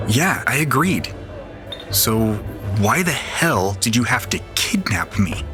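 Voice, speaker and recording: A man's voice: medium pitch, big pitch swings, slow pace. A man playing a cocky, jaded young racing pilot who narrates a scripted science fiction audio drama. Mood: groggy, annoyed. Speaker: narrator and lead actor. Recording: voice over prominent background music.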